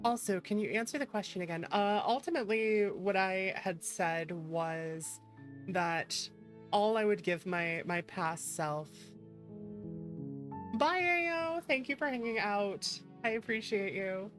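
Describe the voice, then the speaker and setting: Playful woman livestreamer over loud music. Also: medium pitch, a little slow. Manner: playful, chatty, thanks and greets viewers. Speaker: livestream host, VTuber. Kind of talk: casual livestream chat.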